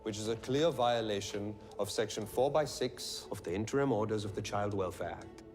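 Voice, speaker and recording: An actor playing a measured defense lawyer. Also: male voice, on the low side, darkish tone, soft background music, close to an everyday voice. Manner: formal, measured arguing. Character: composed male defense lawyer in court. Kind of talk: film courtroom drama. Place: courtroom.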